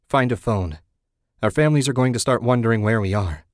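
A man's voice: mid-range voice, a little fast, dry recording, close to an everyday voice. Guy reading as stressed young husband in a spooky audiobook, wary, exasperated, emphatic, dry close sound. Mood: anxious, impatient. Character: agitated, wary young husband in a horror story.

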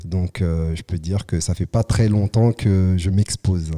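Deep, dark-toned reflective man. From Congo. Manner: measured, reflective, pausing often. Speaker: guest artist. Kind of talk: casual podcast interview.

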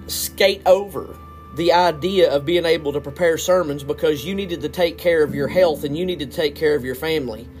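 A man's voice: on the high side, a little mellow. A man hosting his own podcast, speaking in an earnest, indignant tone as he delivers solo commentary. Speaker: podcast host.

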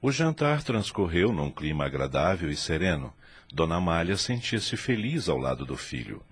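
A man narrating a radio drama in a measured storytelling tone. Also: deep voice, very animated.

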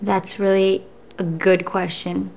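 A woman's voice: medium pitch, flat delivery, takes it slowly, gravelly, a little mellow, a little hiss or hum. A young adult woman, an actress, talking in a casual interview with frequent fillers. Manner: thoughtful, with frequent fillers and laughs. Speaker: actress and guest.

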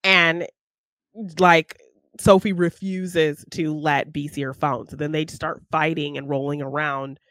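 A woman's voice: low-pitched, fairly even intonation, unhurried, a bit bright, no echo. Woman podcaster, casual storytelling, dry close sound. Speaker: podcast co-host. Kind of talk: casual film discussion, retelling a plot.

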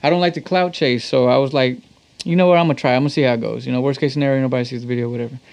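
A man's voice: mid-range voice, steady tone, racing, vocal fry, a little mellow. A man from Texas with an American accent chatting casually as an interview guest, telling stories in a slangy, laid-back way with some swearing. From Texas, United States. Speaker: musician and producer, interview guest.

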